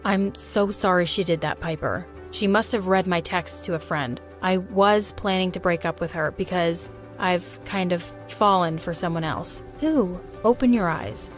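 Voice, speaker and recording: A woman voicing an apologetic young man, a romantic rescuer with a gentle, reassuring manner, in a narrated story. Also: fairly low pitch, measured pace, soft background music, voice pushed down, natural voice. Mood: soft, apologetic.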